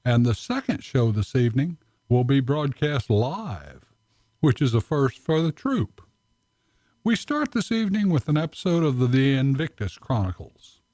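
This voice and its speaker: A man's voice: medium pitch, sing-song, normal speed, dark tone, no room sound. Male radio host, formal announcing, varied intonation, dry close sound.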